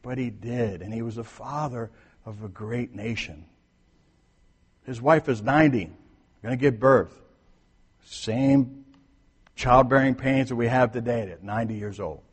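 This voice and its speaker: A man preaching a sermon to his congregation, talking casually, then soft, then emphatic. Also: medium pitch, unhurried, long pauses, slightly rough. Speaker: pastor. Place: church sanctuary. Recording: long hall reverb.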